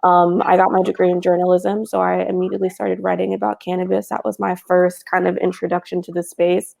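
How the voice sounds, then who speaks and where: Young woman panelist on a video call, gravelly and warm, chatty. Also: on the low side, little pitch movement, brisk. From Houston, Texas. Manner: chatty, frequent fillers. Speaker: cannabis marketing panelist. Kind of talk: casual panel chat.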